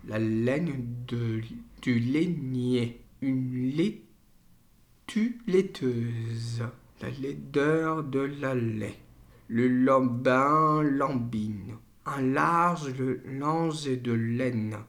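Guy doing speech therapy drills, super slow n careful, bit hesitant. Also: medium pitch, fairly bright tone. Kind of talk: articulation exercises.

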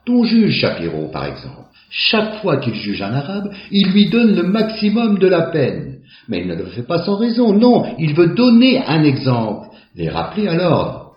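A man voicing a smug lawyer husband who sneers and rants, his voice swinging lively up and down. The sound is roomy and dull. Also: moderate pace, few pauses. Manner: lively, sneering, prejudiced ranting. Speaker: defence lawyer. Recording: echoey, dull low-fi.

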